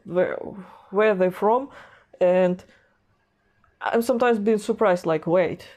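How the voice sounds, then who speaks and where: A woman's voice: medium pitch, slow, mellow. A young woman with a Russian accent talking casually to camera from a room at home, hesitant, with many fillers and restarts, laughing at herself. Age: young adult. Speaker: artist and animation vlogger. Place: home room with a gaming chair, indoors.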